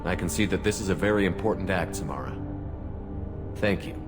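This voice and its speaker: A man playing a stoic military commander in a video game, terse and steady, with long reverb on the voice. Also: low voice, fairly quick, over loud music, close to an everyday voice. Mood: matter-of-fact. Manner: terse, steady, matter-of-fact.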